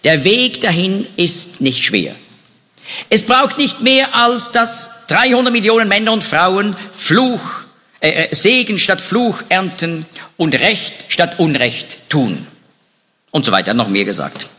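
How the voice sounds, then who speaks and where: A man's voice: high voice, slow. A man giving a spoken talk on history, with frequent hesitations and restarts. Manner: lively, animated delivery with hesitations. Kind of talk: lecture on European history. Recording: echoey hall reverb.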